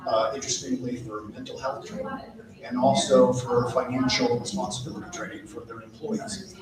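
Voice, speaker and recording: A community college dean talking on a conference panel in a conversational way, often adding "right?" as he explains. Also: medium pitch. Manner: conversational, frequent "right?" asides. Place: large conference hall with audience. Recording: echoey hall, mic at a distance, audience present.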